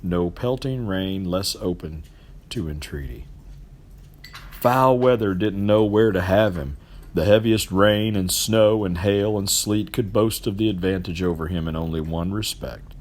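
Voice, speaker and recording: A middle-aged man narrates literary fiction as an expressive storyteller, with emphatic, theatrical and deliberate phrasing. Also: low-pitched, slight background noise. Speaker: storybook reader.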